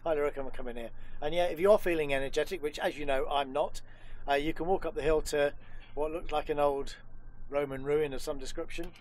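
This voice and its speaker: A man's voice: a little high, fairly even intonation, brisk, a little mellow, a little hiss or hum. A man in his fifties, a vlogger host, chatting casually and self-deprecatingly to a camera held at arm's length on a sailboat outdoors.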